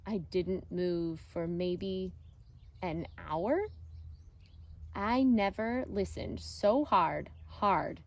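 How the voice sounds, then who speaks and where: A woman teacher reading a picture book aloud to children in a deliberate, expressive way. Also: lowish voice. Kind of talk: storybook read-aloud narration for children. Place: outdoors.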